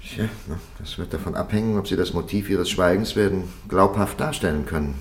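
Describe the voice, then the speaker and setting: An actor playing a stern, polished interrogator in a 1950s German radio play, formal, measured and insistent. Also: male voice, deep voice, natural voice. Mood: formal warning. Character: stern, polished male interrogator pressing a silent prisoner.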